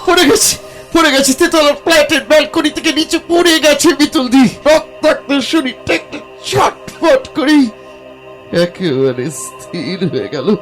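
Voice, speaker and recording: Anxious, high-voiced young man. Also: expressive, fairly bright tone, soft background music, exaggerated voice, low-quality recording. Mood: horrified. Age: young adult. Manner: halting, breathy hesitations and repeated words. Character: anxious young man haunted by terrifying visions. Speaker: protagonist and first-person narrator. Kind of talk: audio horror drama acting.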